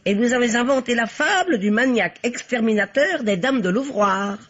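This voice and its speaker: An actress playing a shrewd, stern spinster sleuth in a vintage French radio mystery, dryly ironic and deductive. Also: female voice, a little high, expressive, normal speed. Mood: probing. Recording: muffled old radio drama recording.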